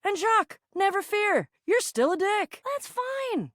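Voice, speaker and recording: A woman's voice: very high-pitched, big pitch swings, a bit bright, no echo, clean studio sound, exaggerated voice. A young American woman voicing a bossy, sarcastic, theatrical and easily flustered female adventurer in a video game. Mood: amused, wry. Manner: theatrical, exasperated, quick to swing between bravado and fluster.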